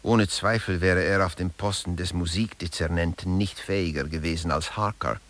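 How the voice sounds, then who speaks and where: A man's voice: deep voice, steady tone, normal speed, fairly bright tone. A man narrating a satirical lecture in a radio play, articulate and emphatic in a mock-scholarly way.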